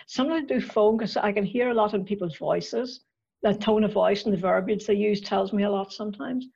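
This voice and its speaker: A woman from Northern Ireland with a softened Northern Irish accent, chatting as a podcast guest and often repeating words as she finds her thoughts. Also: mid-range voice, fairly quick, creaky, slightly rough, highs cut off. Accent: Northern Irish, softened by years in the US. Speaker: podcast guest, marketing coach. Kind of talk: casual interview chat.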